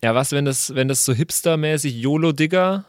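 A man's voice: a little high, brisk, dry recording, clean studio sound. A man chatting casually as a player in an improvised tabletop role-playing game.

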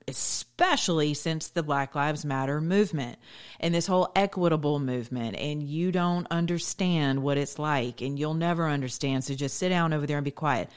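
A woman's voice: deep voice, clear, resonant tone. An American woman hosting a political talk show in a conversational style.

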